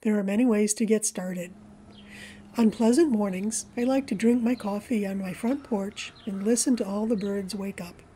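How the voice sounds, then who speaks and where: Female nature-video narrator, soft-edged mellow tone, measured and gentle, mid-range pitch. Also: slight background noise. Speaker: presenter of a backyard birding video for a library. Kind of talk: scripted voice-over narration.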